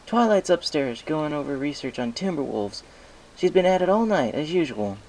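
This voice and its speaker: A man's voice: on the high side, very animated, normal speed, darkish tone, slight background noise, voice pushed up, rough recording. A man voicing a cocky young male dragon assistant in a storybook reading, with a breezy, sarcastic manner. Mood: suspicious, offhand.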